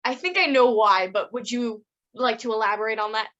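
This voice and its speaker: A woman's voice: fairly high pitch, brisk, fairly bright tone. A woman co-hosting a podcast, chatty and giggly as she asks a guest questions. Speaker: podcast co-host. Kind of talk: casual interview chat. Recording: dull lo-fi audio.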